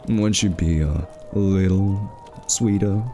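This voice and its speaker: A man's voice: low voice, takes it slowly, dark tone, soft background music. A male voice actor playing a smug, flirty thief in an ASMR roleplay, teasing and drawling with suggestive innuendo. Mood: mocking, coaxing. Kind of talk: ASMR roleplay, scripted character acting.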